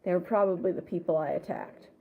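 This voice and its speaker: A woman's voice: on the low side, quick pace. A woman reading a first-person story aloud from a script. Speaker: story reader. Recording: thin, phone-like sound.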